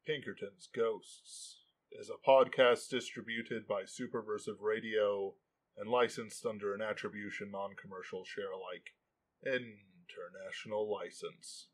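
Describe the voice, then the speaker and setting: A man's voice: lowish voice, fairly even intonation, a little slow, slightly rough. A man reading scripted podcast credits as an announcer.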